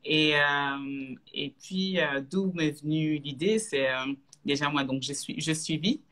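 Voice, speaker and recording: A woman in her thirties from Belgium, a guest on a live video chat, talking casually with many pauses and restarts. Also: low voice, a bit bright. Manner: hesitant, many fillers and restarts. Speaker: guest, entrepreneur. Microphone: video call.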